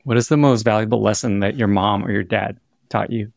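A man's voice: on the low side, fairly even intonation, brisk, creaky, a little mellow, no room sound, clean studio sound. A man hosting an interview podcast, upbeat and enthusiastic as he asks questions and wraps up. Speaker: podcast host.

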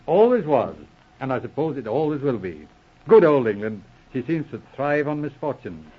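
A lively, swooping detective inspector from a 1940s radio play. He is genial and teasing, with old-fashioned politeness and a rough-edged voice, heard through a dull vintage broadcast. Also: mid-range voice, moderate pace. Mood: amused, breezy. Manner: jovial, chuckling, old-fashioned British politeness. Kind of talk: 1940s radio drama acting.

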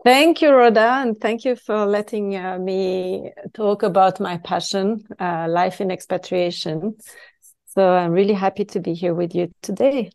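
A woman's voice: medium pitch, steady tone, a little slow, darkish tone. A middle-aged woman from Switzerland, a native French speaker with a French accent, talking conversationally as an interview guest. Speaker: podcast guest, expat coach.

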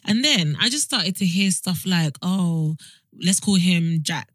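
A woman's voice: fairly low pitch, unhurried, no room sound, clean studio sound. A British woman in her twenties co-hosting a podcast, telling a personal story in a candid, wry way. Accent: British English. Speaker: podcast co-host. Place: podcast studio.

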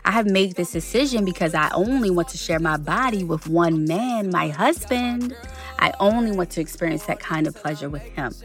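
Low-voiced, determined devoted girlfriend. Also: lively intonation, moderate pace. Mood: firm, playful. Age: 30s. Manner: frank, earnest. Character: devoted thirty-five-year-old girlfriend, principled and determined. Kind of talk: scripted audio drama acting. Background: background music.

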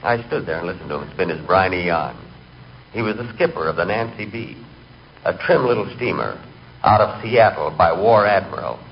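An actor playing a nervous, hapless middle-aged man who tells his misadventures with deadpan earnestness in a 1940s radio comedy. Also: low voice, moderate pace, vocal fry. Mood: storytelling. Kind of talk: 1940s radio comic monologue. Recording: dull, noisy 1940s radio recording.

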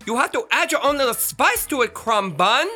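A woman's voice: high voice, expressive, normal speed, pushed and bright, faint music behind, heavily acted. A woman voicing a flamboyant, bossy, self-adoring radio star in a children's comedy audio drama, with a theatrical manner. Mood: exasperated. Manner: theatrical, exasperated, showy.

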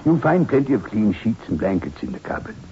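An actor playing a superstitious, courteous old widower in a 1960s radio horror drama, speaking gravely and hesitantly. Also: male voice, lowish voice, big pitch swings, a little fast, dark tone. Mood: grave, foreboding. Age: older. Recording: dull, noisy old radio recording.

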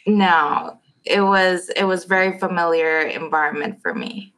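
A woman's voice: on the low side, unhurried, fairly bright tone, poor audio. A woman from South Korea, a guest nurse giving casual interview answers.

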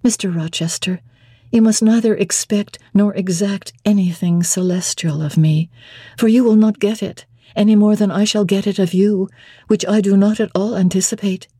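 A woman's voice: medium pitch, steady tone, normal speed, dark tone, slightly rough, no room sound, studio quality, natural voice. A woman playing an earnest, proud young bride-to-be in a classic novel reading, speaking formally and candidly. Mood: firm.

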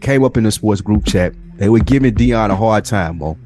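A man's voice: on the low side, normal speed, a little mellow, soft background music. An American man co-hosting a podcast, explaining things in an informal, conversational way. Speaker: podcast co-host. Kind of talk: casual sports talk.

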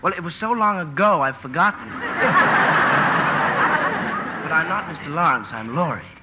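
A man's voice: on the high side, animated, quick pace, natural voice. An actor playing a polite, lonely, bashful young man, hesitant and stammering, in 1950 radio drama style. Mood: friendly. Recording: noisy vintage 1950 radio broadcast.

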